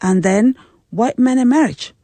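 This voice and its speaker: Mellow woman's voice-over, dry and close. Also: a little high, slow pace, no echo. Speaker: voice-over reader of a translation. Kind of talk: first-person narrated translation.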